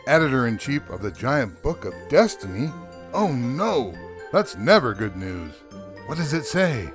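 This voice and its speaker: Audio drama Santa: weary, jolly boss turned rebel, indignant and theatrical, highly animated delivery. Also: male voice, a little slow, music in the background. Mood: dismayed, grumbling. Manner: theatrical, grumbling.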